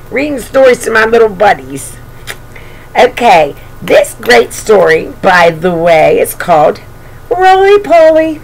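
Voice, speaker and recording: Older female kids' storybook host: playful, singsong, swooping intonation with plenty of gravelly creak, homemade webcam sound. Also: fairly high pitch, poor audio. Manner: singsong, playful, addressing little children. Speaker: children's storybook reader and show host. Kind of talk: read-aloud storytelling with chatty asides to young children. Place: bedroom at home.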